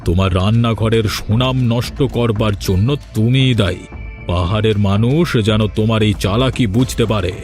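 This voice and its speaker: A man's voice: lowish voice, normal speed, darkish tone, faint music behind, natural voice. A man voicing a scheming merchant who talks in a smug, threatening way in a cartoon drama. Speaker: villain.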